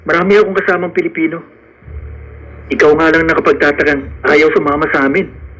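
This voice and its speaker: A man's voice: fairly high pitch, a little fast, a bit bright. A man playing a poor, hopeful and determined young man in love, in an earnest radio drama style. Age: young adult.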